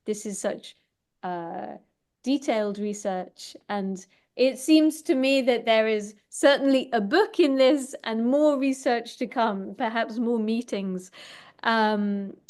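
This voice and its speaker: A woman hosting a talk and discussion, speaking thoughtfully and informally with halting, hesitant phrasing. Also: a little high, a little mellow. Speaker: host and moderator of an online talk.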